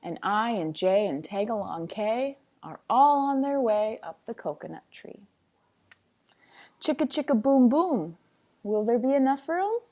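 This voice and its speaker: A woman's voice: fairly high pitch, very animated, a little slow, warm tone. A woman reading a picture book aloud like a teacher, with a sing-songy, playful, dramatic delivery and chatty asides.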